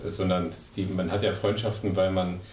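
A man gives halting answers to a street interviewer, tripping over words now and then. His voice is low and sits far from the mic. The sound is echoey with faint noise. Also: little pitch movement, darkish tone. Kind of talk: unscripted vox pop answer.

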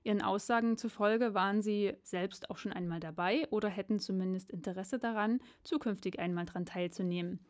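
A woman's voice: medium pitch, a little fast, hardly any pauses. A woman presenting and linking short audio pieces as a radio host.

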